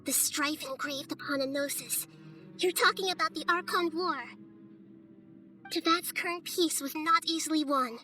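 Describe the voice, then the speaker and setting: A woman playing a composed, wise young goddess in a video game, speaking in a measured, thoughtful and articulate way. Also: high voice, sharp tone. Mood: solemn, firm. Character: small, composed, wise young goddess of wisdom, gentle but firm. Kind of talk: English video game cutscene voice acting. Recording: music playing behind the voice.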